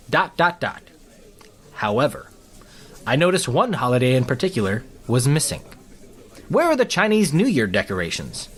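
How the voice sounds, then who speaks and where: A high-pitched, swooping small-town news anchor, played by a man. He reads in a theatrical, sardonic way, like a dryly officious host of a parody local newscast. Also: a bit bright, heavily acted. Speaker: local news anchor reading viewer letters. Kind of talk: parody newscast, scripted comedy.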